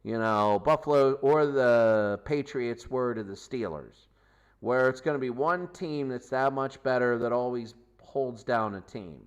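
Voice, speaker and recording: A man's voice: mid-range voice, a little slow, clean tone. A man hosting a football show, giving a loose, rambling recap of game results and stats, often correcting himself mid-sentence. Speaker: sports show host. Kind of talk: unscripted football recap commentary.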